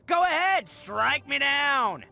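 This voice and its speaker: A loud-mouthed young male oni delinquent from a video game, with a high, bright voice and an American accent. He swaggers through every sentence with cocky, slangy bravado, his pitch leaping all over the place. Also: very animated, slow, creaky, full character voice. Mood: defiant, taunting. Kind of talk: animated video game character acting.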